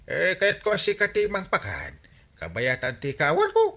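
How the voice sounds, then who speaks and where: An actor playing a blustering, wheedling village captain in an Ilocano radio comedy drama, cajoling with hesitations and exclamations. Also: male voice, high-pitched, wildly swooping pitch, normal speed, a bit bright, heavily acted.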